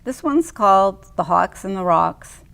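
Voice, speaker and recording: An older woman with a mid-pitched voice speaks very slowly. There is a clear gravelly fry in her tone. She sounds like a co-host sharing poetry. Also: animated, fairly bright tone. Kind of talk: poetry reading and casual chat.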